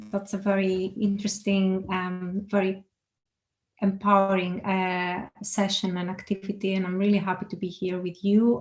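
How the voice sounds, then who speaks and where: A woman from Greece with a Greek accent gives an informal online presentation as a research fellow, in a halting, hesitant way with frequent ums. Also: medium pitch. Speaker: research fellow, invited panel speaker. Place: online video call. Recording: noisy video call audio.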